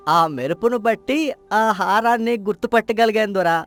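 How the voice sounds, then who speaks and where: A man's voice: sits high, moderate pace, a bit bright, soft background music. A man playing deceitful palace men, a prince and a guard, in a folk tale drama, insistent but uneasy. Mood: nervous, defensive. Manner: insistent, faltering when challenged. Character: scheming young prince and lying, nervous palace guard.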